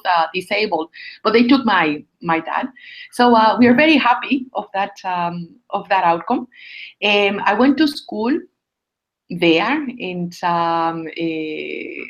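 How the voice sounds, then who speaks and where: A woman with a Puerto Rican accent answering interview questions conversationally, often pausing to search for words and repeating phrases. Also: mid-range voice, lo-fi sound. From Puerto Rico. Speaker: interview guest, astronomer.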